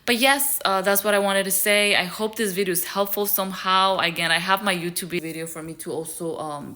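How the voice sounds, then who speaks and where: A woman's voice: low-pitched, fairly bright tone. A young woman from Ecuador giving rambling, earnest advice to her viewers in a casual vlog, with lots of fillers. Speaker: YouTube creator. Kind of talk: casual vlog talk to camera.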